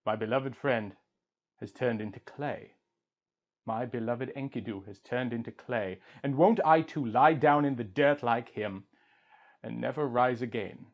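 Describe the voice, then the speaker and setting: A man's voice: mid-range voice, a little slow, natural voice. An anguished wandering hero-king, performed by a male reader, mourns in grand, emphatic storybook style. His weariness and grief shape the declamation, heard through a slightly muffled, lo-fi recording. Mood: mournful. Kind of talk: dramatic audiobook reading of an ancient epic.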